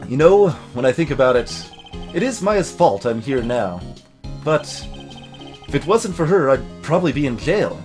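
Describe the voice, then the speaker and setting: A man's voice: on the high side, big pitch swings, unhurried, long pauses, a little mellow, soft background music. A man hosting a let's play with casual commentary, reading captions aloud and adding wry, rambling asides.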